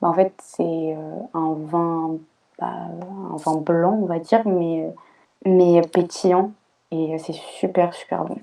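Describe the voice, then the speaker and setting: A woman's voice: fairly low pitch, little pitch movement, slow, vocal fry, dark tone, far from the mic. A woman of Portuguese family, a podcast co-host, chatting casually and hesitantly with many fillers.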